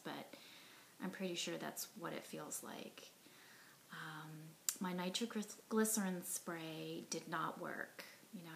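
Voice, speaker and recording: A woman in her forties telling her own story to camera from her living room, earnest and reflective, often soft and breathy, with many ums and you knows. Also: fairly low pitch, a little hiss or hum. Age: 40s. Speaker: heart attack survivor telling her story. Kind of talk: personal testimony to camera. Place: living room at home, indoors. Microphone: device camera at arm's length, sitting close.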